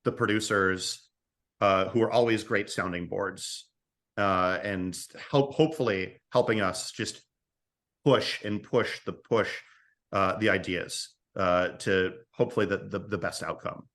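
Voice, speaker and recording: A man's voice: sits low, fairly even intonation, a little slow, a bit bright. A man speaking as an interview guest and writer, casually discussing creative work, often repeating and restarting his phrases.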